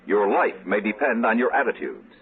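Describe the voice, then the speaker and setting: A man's voice: on the low side, big pitch swings, moderate pace. An American man reading a vintage radio public-service announcement in an earnest, formal, admonishing manner. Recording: thin, narrow old radio recording.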